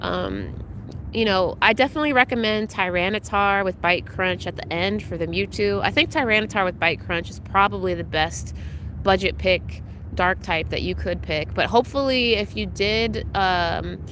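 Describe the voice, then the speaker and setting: A woman's voice: medium pitch, moderate pace, a bit bright, slight background noise. Chatty woman streamer, slightly congested. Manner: chatty, greets viewers by name, frequent ums. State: congested, recovering from illness. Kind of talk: casual live-stream chat.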